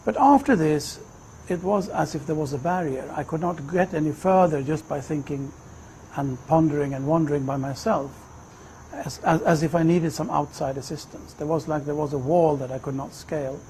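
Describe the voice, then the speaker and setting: A man in his fifties from Sweden, telling his personal story in a reflective, measured way. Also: on the high side, warm tone, a little hiss or hum, poor audio. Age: 50s. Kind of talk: personal testimony, unhurried storytelling.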